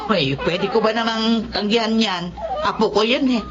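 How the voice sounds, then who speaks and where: A woman's voice: mid-range voice, moderate pace, muffled recording, background noise, close to an everyday voice. A woman playing a doting, assertive Filipino grandmother in a radio drama. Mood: delighted, doting.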